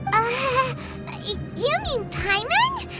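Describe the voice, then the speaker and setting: A woman voicing a tiny, cheeky, childlike companion creature in a video game, with a squeaky voice. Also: huge pitch swings, extremely slow, over loud music, full character voice. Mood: curious.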